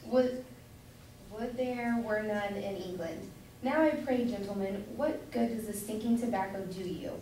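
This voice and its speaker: A woman's voice: mid-range voice, unhurried, warm tone, close to an everyday voice. A woman playing a simple, opinionated, doting grocer's wife, gossipy and exclamatory, in a staged reading of a period comedy. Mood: scolding. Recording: echoey room from far off, faint noise.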